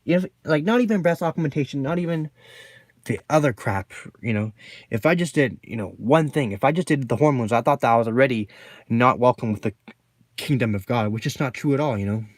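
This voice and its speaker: A man with a deep voice at a middle pitch, speaking in a loose, personal vlog monologue. He rambles, circles back on his points and scatters "you know" through nearly every sentence. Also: animated, brisk, dry recording. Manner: rambling, with constant "you know" fillers and repeats. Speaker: vlogger.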